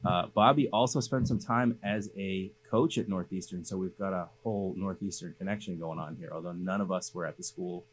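A man from the Boston area hosts a casual interview podcast. His voice sits low in pitch, and a faint noisy hum runs under the studio recording. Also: brisk, few pauses. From Boston area, United States. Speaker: podcast host.

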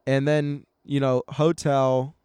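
A man's voice: medium pitch, takes it slowly, smooth, no room sound. A man chatting casually, telling laid-back travel stories and briefly acting out exchanges. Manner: relaxed storytelling, acts out short exchanges. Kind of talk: casual chat.

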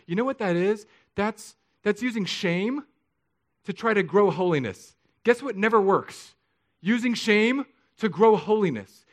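Pastor, male, 30s, earnest confessional preaching, slightly high-pitched. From Southern California. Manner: earnest, confessional, swings from soft to emphatic. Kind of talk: sermon.

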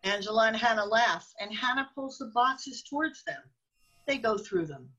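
A woman's voice: fairly high pitch, expressive, moderate pace, pushed and bright, low-quality recording. A woman reading scripted narration for a radio drama, describing scenes as the narrator.

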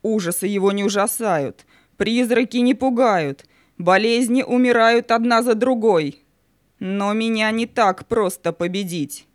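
A woman's voice: on the high side, unhurried, dry recording, clean studio sound, lightly acted. A woman playing the imperious, mocking spirit of Night in a children's audio play, grand and menacing in manner. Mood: haughty defiance.

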